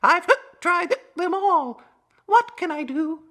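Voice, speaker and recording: A desperate fairy-tale woman, acted out by a man, pitched very high. She exclaims plaintively in a bright, forceful tone, like a storybook damsel at her wit's end. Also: slow pace, heavily acted. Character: weeping, desperate woman with a long-standing ailment.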